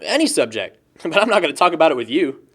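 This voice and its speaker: A man's voice: on the high side, big pitch swings, fast, a bit bright, heavily acted. An actor playing a goofy, carefree young man who playfully needles his best friend in a film drama. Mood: jokey, relaxed. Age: young adult. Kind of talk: naturalistic amateur film dialogue.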